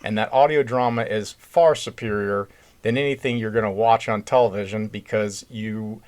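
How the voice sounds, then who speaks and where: A man with a mid-pitched voice co-hosts a podcast in loose, unscripted conversation. He talks informally and puts his views across with emphasis. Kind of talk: casual unscripted podcast chat.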